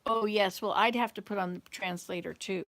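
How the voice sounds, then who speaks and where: A woman with a Southern US accent hosts a casual livestream chat. Her mid-pitched voice has a noticeable creak. She rambles from one thought to the next as she replies to viewers. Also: fairly even intonation, a little fast, fairly bright tone. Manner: rambling, jumps between thoughts. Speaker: livestream host.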